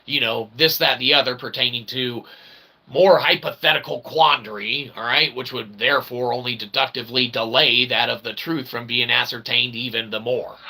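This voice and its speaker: A man hosting a philosophy show with a rambling monologue, wordy and mock-formal, in a gravelly voice with pompous archaic phrasing. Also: medium pitch, steady tone, normal speed, bright, forceful tone. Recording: clearly noisy recording.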